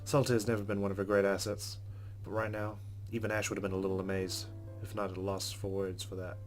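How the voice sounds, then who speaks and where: Low-voiced man narrating a visual novel. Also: level tone, quick pace, soft background music. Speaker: let's player.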